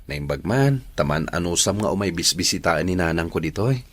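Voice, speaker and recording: A man's voice: sits low, lively intonation, fairly quick, dry recording. An actor playing an easygoing young man, a devoted son, in a Filipino radio drama, with a Filipino accent. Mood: friendly.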